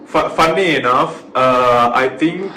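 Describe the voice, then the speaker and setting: A man from Thailand, a native Thai speaker with a Thai accent, chatting casually as an interview guest in a halting way that repeats words. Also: medium pitch, sharp tone. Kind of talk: casual chat. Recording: echoey room with clear background noise.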